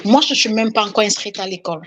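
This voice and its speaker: A woman's voice: medium pitch, fast talker, a little hiss or hum. A woman from Cameroon, calling in to a spiritual talk show to give an emotional testimony, often repeating herself. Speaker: caller giving testimony.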